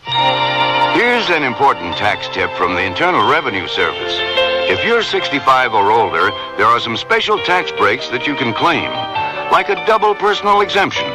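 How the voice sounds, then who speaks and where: A man's voice: mid-range voice, very animated, moderate pace, over loud music, poor audio. A man reading a scripted informational radio announcement in an announcer's style.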